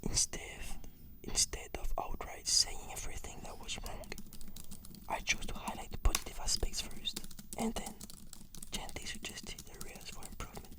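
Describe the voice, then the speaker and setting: A person whispering scripted questionnaire answers in an ASMR roleplay, in a breathy, measured and articulate way.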